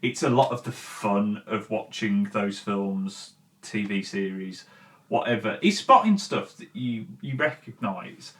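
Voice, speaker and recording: A man's voice: on the low side, expressive, measured pace, fairly bright tone. A man hosting an opinionated commentary show, talking conversationally and emphatically in an informal, mildly sweary way. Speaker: host of a film and games commentary show. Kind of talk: opinionated video essay talk, conversational.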